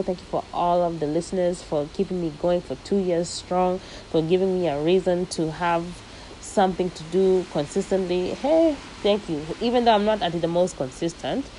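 Young woman podcast host, fairly low voice, loose and lively, sprinkles in Swahili slang. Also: normal speed, slight background noise, low-quality recording. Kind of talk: casual solo podcast monologue. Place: living in the United States.

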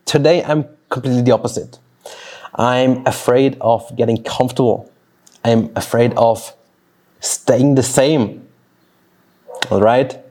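A man in his thirties from Switzerland, a native Swiss German speaker, thinking aloud in a personal vlog with pauses and restarts. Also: mid-range voice, darkish tone. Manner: reflective, talks slowly with pauses and restarts. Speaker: fitness coach. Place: outdoors near a road.

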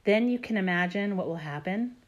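A woman's voice: medium pitch, animated, a little fast, highs cut off. A woman co-hosting a podcast with her husband, chatting casually and acting out her own thoughts with animation. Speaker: podcast co-host. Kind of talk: casual chat.

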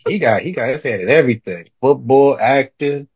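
A man co-hosting a podcast, excitable and joking, often repeating himself. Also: mid-range voice, brisk. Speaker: podcast co-host. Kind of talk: casual podcast chat. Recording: slightly dull lo-fi audio.

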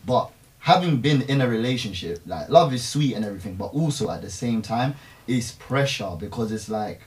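A man's voice: mid-range voice, expressive, moderate pace. Laid-back young man, mic a bit distant. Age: 20s. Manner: laid-back, rambling, heavy slang fillers. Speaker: podcast guest. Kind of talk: casual group discussion.

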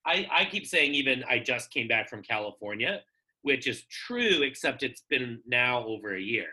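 A podcast host asking questions in a playful, laughing, animated way. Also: mid-range voice, normal speed. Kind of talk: casual conversational interview.

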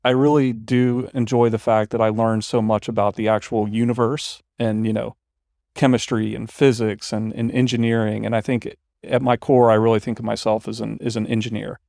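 Forties guy co-hosting a podcast, gravelly, chats in a slow reflective way, dry mic. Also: fairly low pitch, little pitch movement, darkish tone, no room sound. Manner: rambling, reflective. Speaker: podcast co-host. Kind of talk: casual chat.

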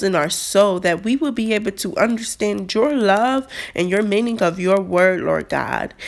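Woman devotional podcaster, unhurried, gravelly, stuffy nose, earnest. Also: medium pitch. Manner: slow, earnest, encouraging, with restarts. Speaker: podcast host. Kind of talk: devotional podcast talk with prayer.

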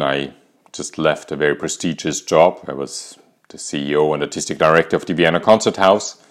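A man in his fifties with an Austrian accent, hosting a podcast and calmly telling a candid personal story. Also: extremely low pitch. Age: 50s. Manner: calm, reflective, candid. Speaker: podcast host.

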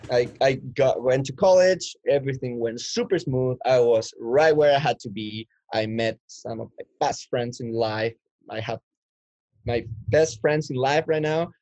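A man's voice: medium pitch, measured pace, darkish tone, no room sound. A man in his twenties from Chile, telling his life story as an interview guest in a rambling way with many restarts. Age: 20s. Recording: dull lo-fi dry sound.

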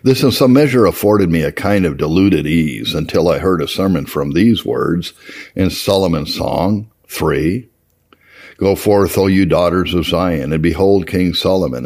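A man reading an old religious memoir aloud as scripted narration, in a measured, formal and solemn manner. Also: low-pitched, a little mellow. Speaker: audiobook-style reader of historical religious readings.

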